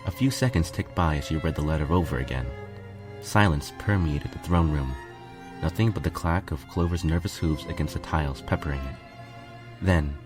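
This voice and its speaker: A man reading a first-person fan fiction story as scripted narration. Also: a bass voice.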